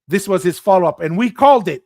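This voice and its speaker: A man from Tonga co-hosts a casual talk show, his voice sitting a little high. He talks in an animated way, laughs easily and repeats phrases for emphasis. The sound is dry and close. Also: fast, dry recording. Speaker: podcast co-host. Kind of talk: casual chat.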